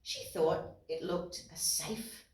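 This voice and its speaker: A woman's voice: lowish voice, slow pace. An Australian woman presenting storytime for young children, reading aloud expressively and explaining things simply. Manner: expressive, asks listeners questions and explains words simply. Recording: roomy echo, distant mic, guitar music.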